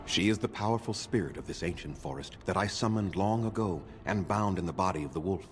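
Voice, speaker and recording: A man's voice: deep voice, slight background noise, close to an everyday voice. A man voicing a grave old elf keeper. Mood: solemn. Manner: grave, measured, formal speech. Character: aged male elf clan keeper, stern and proud. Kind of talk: video game voice acting.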